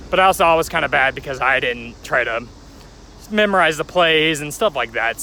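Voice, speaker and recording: Gravelly young man vlogging outdoors. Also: mid-range voice, sharp tone, a little hiss or hum, rough recording. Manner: rambling, chatty, joking aside at passing trains. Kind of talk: casual storytelling vlog. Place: outdoors near a railway.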